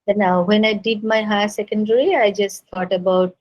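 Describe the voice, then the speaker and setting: A woman with a Bangladeshi accent answering interview questions as an artist, speaking slowly and correcting herself. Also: mid-range voice, fairly even intonation. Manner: slow, thoughtful, self-correcting. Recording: dull lo-fi recording.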